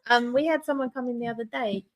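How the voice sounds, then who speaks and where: A woman's voice: fairly high pitch, quick pace, poor audio. A woman. She tells anecdotes in an informal, chatty style as a podcast co-host. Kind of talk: casual anecdotal chat.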